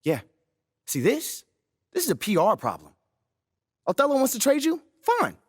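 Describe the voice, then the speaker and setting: A man's voice: very high voice, huge pitch swings, normal speed, husky, dry recording, studio quality, heavily acted. A man playing a persuasive, scheming adviser in a video game scene, coaching with natural but emphatic delivery. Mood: plotting.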